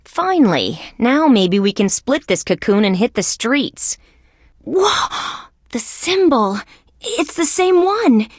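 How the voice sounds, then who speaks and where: A woman playing a wry, skeptical young female police detective in a video game, with a deadpan, sardonic delivery. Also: mid-range voice, unhurried, few pauses, no room sound, studio quality. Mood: dry, sardonic. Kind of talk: scripted game character lines.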